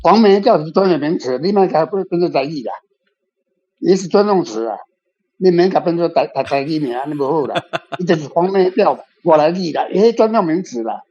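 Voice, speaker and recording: Dull-sounding older Taiwanese man, higher-pitched. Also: expressive, brisk, mellow. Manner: opinionated, emphatic, repeats himself. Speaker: author and discussion guest. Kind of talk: casual literary discussion. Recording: dull lo-fi, music behind.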